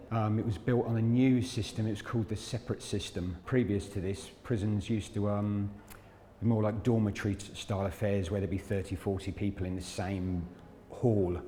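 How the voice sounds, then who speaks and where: A man's voice: lowish voice, fairly even intonation, normal speed, warm tone, faint music behind. A man giving an informal, explanatory history talk as a guide. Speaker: prison guide. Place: inside a large reverberant prison building. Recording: long echo, faint background noise.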